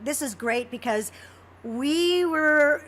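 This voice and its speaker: A woman co-hosting a casual podcast interview, excitable and gushing, often repeating herself. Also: high-pitched, slow. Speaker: podcast co-host.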